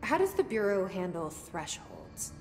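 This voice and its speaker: A woman's voice: medium pitch, big pitch swings, a little slow, over music. An actress playing a determined, guarded young woman in a video game, speaking tersely and directly. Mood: probing, resolute. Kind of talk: video game dialogue acting.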